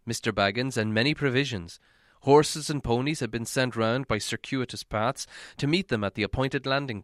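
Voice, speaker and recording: A man reading a story aloud as narration, in a measured, dramatic storytelling manner. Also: medium pitch, a bit bright, clean tone, no echo, studio quality. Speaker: audiobook reader.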